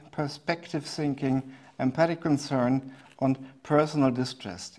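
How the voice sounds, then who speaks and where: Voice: mid-range voice, darkish tone. A lecturer giving a recorded slide lecture who reads from the slides, often corrects himself mid-word and adds short asides.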